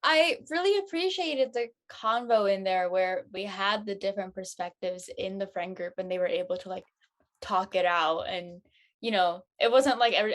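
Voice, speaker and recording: A woman talking as a panelist in a casual post-show discussion, thoughtful and conversational. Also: medium pitch. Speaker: cast member panelist.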